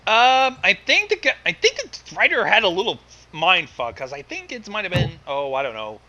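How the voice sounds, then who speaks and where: A man's voice: high voice, sing-song, normal speed, sharp tone, lo-fi sound. A man giving casual, sarcastic commentary with some swearing, on a noisy home microphone. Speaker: guest commentator.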